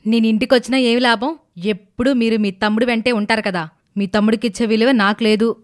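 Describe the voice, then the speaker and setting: A woman voicing a sly, aggrieved young village wife in an animated moral story, complaining and self-pitying. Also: fairly high pitch, brisk, natural voice. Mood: sulky, demanding.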